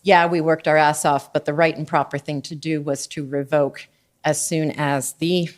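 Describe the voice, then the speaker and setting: A woman with a somewhat low voice examines a witness at an inquiry. She is methodical, reading out document references slowly with frequent fillers. Recorded on a desk microphone in a hearing room. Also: flat delivery. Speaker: lawyer questioning a witness. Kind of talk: formal examination at a public inquiry.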